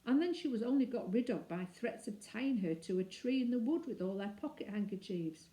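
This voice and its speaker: Lady reading kids story out loud, expressive, warm mellow tone, roomy echo. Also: a little high, fairly quick. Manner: animated, dramatizes dialogue with shifting emphasis. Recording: roomy, echoing indoor sound.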